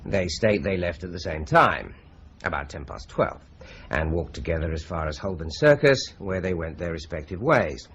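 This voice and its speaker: An actor playing a methodical male detective who reads out alibis in a measured, deliberate way in a radio drama. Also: deep voice, expressive, a bit bright, dull audio, a little hiss or hum. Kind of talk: 1950s-style BBC radio drama.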